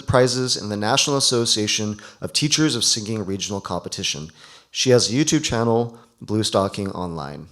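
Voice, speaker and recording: Male event host, mid-pitched, informal announcing at a live ceremony, slightly halting with self-corrections. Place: live event before an audience.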